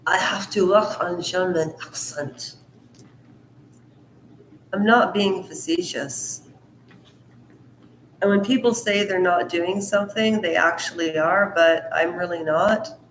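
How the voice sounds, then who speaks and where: Slow, low-voiced woman reading in an echoey room. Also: slight background noise. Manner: rambling asides that interrupt the reading; slow, deliberate delivery. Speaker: channel host reading a book aloud. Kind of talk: book reading mixed with casual commentary.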